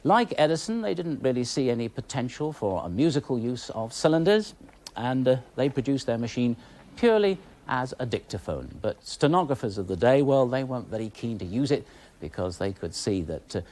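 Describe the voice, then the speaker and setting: A man's voice: medium pitch, animated, brisk, a little mellow. A man with a British accent presenting and explaining things in a documentary style. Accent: British English. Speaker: television presenter.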